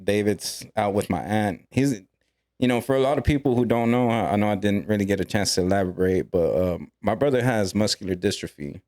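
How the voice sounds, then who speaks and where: A man talking about his life as a podcast guest, earnest and reflective, with halting restarts. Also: on the low side, darkish tone. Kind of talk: casual podcast conversation.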